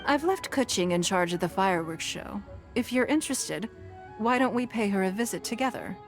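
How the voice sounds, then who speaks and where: A woman playing a dignified city leader in a video game, speaking in a measured, formal and gracious manner. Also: medium pitch, lively intonation, music in the background, lightly acted. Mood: measured, explanatory.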